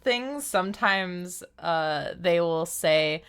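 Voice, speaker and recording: A woman's voice: on the low side, expressive, takes it slowly, fairly bright tone, clean tone. A woman streamer giving casual, playful live commentary on a game, with a giggly, teasing manner.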